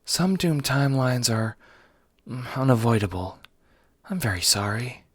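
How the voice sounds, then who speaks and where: A man's voice: mid-range voice, animated, background noise, natural voice. Man voicing a formal, blunt alien troll woman. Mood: grave, explanatory. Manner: formal, measured, few contractions. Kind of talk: audio drama dialogue.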